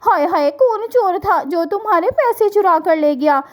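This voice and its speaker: Casting: woman as a vain, greedy cartoon lady bird, high voice, sly and theatrical. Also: lively intonation, normal speed. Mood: feigned shock. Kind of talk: children's cartoon storytelling.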